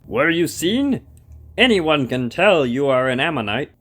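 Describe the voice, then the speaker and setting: A man acts an ambitious, traitorous young-blooded prince in an audio drama. He is curt and commanding, in a fairly high male voice. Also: sing-song, unhurried, a bit bright, slight background noise. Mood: curt, wary. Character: treacherous, ambitious prince and army captain.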